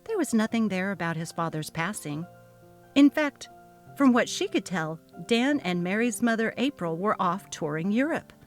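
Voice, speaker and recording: A woman's voice: medium pitch, animated, moderate pace, faint music behind. A woman narrating a short scripted story as a dramatic reading.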